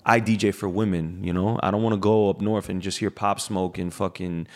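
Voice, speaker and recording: A young man from Miami talks in a low, relaxed voice, rambling through a casual podcast chat. He leans on fillers and swears freely as he talks. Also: a little fast.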